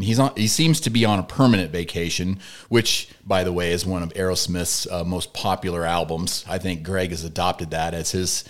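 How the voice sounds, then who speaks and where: A man hosting a podcast, chatting casually in a jokey, teasing way with his guest in a studio. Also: deep voice. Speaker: podcast host. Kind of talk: casual banter and interview.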